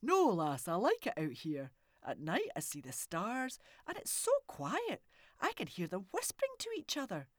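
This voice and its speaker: A booming old hermit of the woods, loud and hearty, swinging his voice up and down, as a woman puts him on. The sound is close and dry. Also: wildly swooping pitch, normal speed, no room sound. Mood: gentle.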